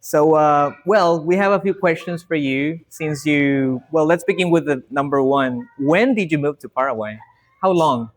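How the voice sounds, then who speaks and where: A woman's voice: sits low, moderate pace. A woman interviewing a guest, asking hesitant questions with many fillers.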